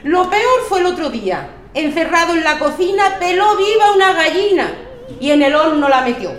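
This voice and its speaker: High-voiced woman reciting lively verse. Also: moderate pace, roomy sound. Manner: animated storytelling reading with voiced quotes. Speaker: poetry reciter at a community reading. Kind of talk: expressive poetry recitation.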